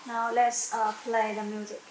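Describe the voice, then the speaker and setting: A woman giving an unscripted step-by-step software demonstration, halting as she searches for words and repeats phrases. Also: fairly high pitch, level tone. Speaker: software tutorial presenter. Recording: echoey room with faint background noise.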